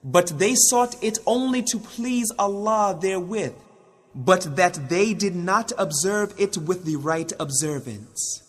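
A man narrating a scripted religious audiobook of translations in a measured, solemn manner. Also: high voice.

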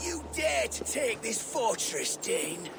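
An actor playing a proud, defiant middle-aged Saxon lord in a video game, with pompous, declamatory delivery. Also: male voice, very high-pitched, big pitch swings, slow pace, creaky, music in the background, full character voice. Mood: defiant rage.

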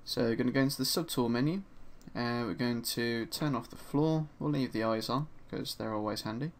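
A man with a slightly hoarse voice from a sore throat narrates a screen-recorded 3D sculpting tutorial in a relaxed, chatty way, with frequent ums and uhs. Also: medium pitch, a little fast. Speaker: 3D art instructor. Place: at his desk, voice-over for a screen recording.

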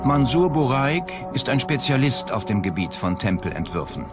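A man's voice: mid-range voice, sing-song, moderate pace. A man reading scripted narration as a documentary narrator. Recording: loud music bed behind the voice. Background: background music.

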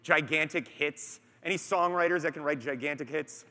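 A man's voice: fairly high pitch, normal speed, bright, forceful tone. A man bantering playfully while presenting on a large arena stage. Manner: playful, teasing. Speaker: film director on stage. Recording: arena sound with long reverb.

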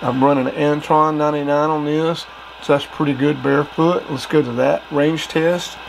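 A man's voice: fairly high pitch, fairly even intonation, moderate pace. A man giving a casual demonstration of gear as a hobbyist. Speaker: radio hobbyist reviewing gear. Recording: clear background noise.

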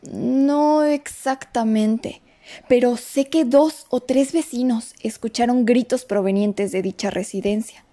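A woman's voice: a little high, slow, mellow. A woman voicing a nervous young café waitress who tells of a crime in a dramatic, breathless way. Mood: telling. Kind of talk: amateur radio drama acting.

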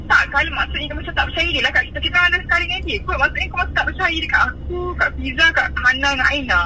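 A woman's voice: sits high, rapid-fire. A young woman with a Malaysian accent chatting casually over a phone speaker, defensive and full of exclamations. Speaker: prank call recipient. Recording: noisy phone call played in car.

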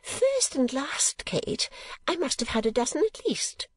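A woman voicing a vain, rambling middle-aged gentlewoman mother in a storybook reading, with an affected, garrulous manner. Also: fairly high pitch, wildly swooping pitch, normal speed, breathy edge, no room sound, clean studio sound, pitched above the performer's own voice, exaggerated voice. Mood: complacent, rambling.

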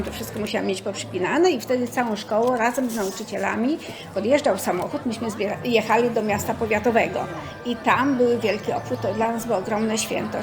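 Senior lady reminiscing, fairly high voice, music clearly playing behind her. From rural Podlasie, Poland. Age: over 60. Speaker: senior sharing memories. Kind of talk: descriptive reminiscence.

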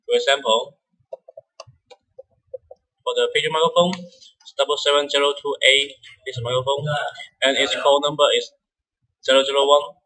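A man with a bright, forward voice at a medium pitch walks through software step by step. He is a non-native English speaker, and his delivery is halting, with repeated words. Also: fairly even intonation, poor audio. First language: not English. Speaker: software tutorial presenter. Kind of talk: step-by-step screen-recorded software tutorial.

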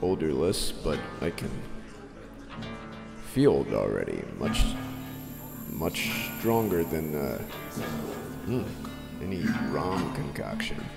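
A man playing a dreamy, intoxicated rogue pilot in a radio drama, halting and drowsy. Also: a bass voice, sing-song, vocal fry, heavily acted. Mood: woozy, dreamy. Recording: long reverb, loud music.

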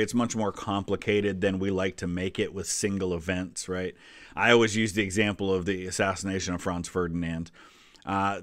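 A man in his forties with a fairly low voice talks through history as a reacting video host. He is conversational and explanatory, putting weight on key answers. The recording is very dry, with no room sound. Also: no room sound. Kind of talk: casual explanatory reaction commentary.

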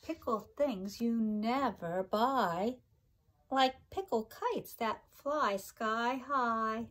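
A middle-aged woman with a fairly high voice reads a children's picture book. She takes it slowly and deliberately, with a sing-song lilt. Chatty asides to her young listeners break up the expressive reading. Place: kitchen-like room at home, indoors.

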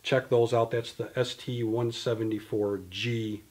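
A man's voice: medium pitch, level tone, measured pace, a little mellow. A man in his fifties walks through fishing gear with a patient, detailed, explanatory manner. He talks like a guest on a studio interview, recorded on a camera mic indoors in front of a banner. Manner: detailed, explanatory, gesturing with products in hand. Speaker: fishing tackle company guest.

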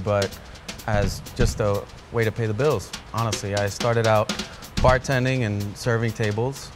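A lower-voiced man, talking in a thoughtful, halting way, stopping to restart his sentences and filling the gaps with "you know." He sits for an interview in a restaurant dining room, and a clear music bed runs under his voice. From born in Colombia, raised in Queens, New York. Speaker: chef. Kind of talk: documentary interview. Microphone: off-camera interview mic, seated close framing.

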